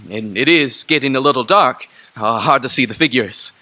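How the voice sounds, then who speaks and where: A man's voice: medium pitch, moderate pace, sharp tone, lightly acted. An actor playing a meek, poor male clerk in a radio drama, nervous and stammering with deference. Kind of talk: stage radio drama acting.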